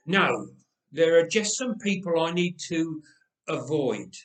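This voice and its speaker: A man's voice: a little high, animated, slow pace, distant mic. A man playing a weary uncle in a story, defensive and given to sighing and muttering. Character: weary, evasive uncle, reluctant disciple in debt. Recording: roomy, echoing space.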